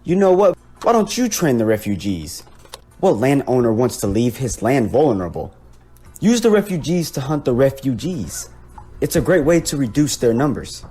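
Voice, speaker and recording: Man as a husky, haughty, callous landowner. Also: medium pitch, big pitch swings, moderate pace, warm tone, faint music behind. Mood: condescending, dismissive. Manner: condescending, brusque. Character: haughty, callous male landowner, stingy and dismissive. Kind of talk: audio drama dialogue.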